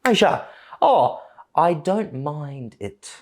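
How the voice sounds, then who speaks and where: Australian man, English teacher, speaks Portuguese with a foreign accent, animated delivery, lesson video. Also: fairly high pitch. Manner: animated, switches between Portuguese and slow English examples. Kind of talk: casual online lesson.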